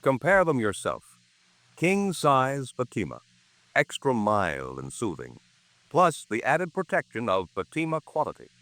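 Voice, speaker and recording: A man's voice: medium pitch, wildly swooping pitch, a little slow, a bit bright, no room sound. A man announcing a 1950s radio commercial with polished, emphatic salesmanship. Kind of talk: 1950s radio advertising read.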